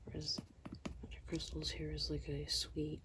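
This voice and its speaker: A soft-spoken ASMR host, whispering slowly through a product haul with a tired, strained voice. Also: medium pitch, monotone. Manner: mostly whispered, slow and hushed.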